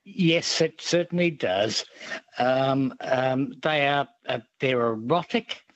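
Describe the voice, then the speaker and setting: A man's voice: medium pitch, highs cut off. A man calling in to a radio show, hesitant and thoughtful, with many ums. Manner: halting, slow, full of ums, thoughtful. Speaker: caller. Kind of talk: phone-in chat.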